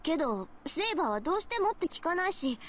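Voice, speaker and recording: A woman's voice: very high voice, sing-song, rapid-fire, a loud crowd. An actress playing a proud, blunt teenage girl mage in a Japanese anime visual novel, scolding and assertive. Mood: defensive.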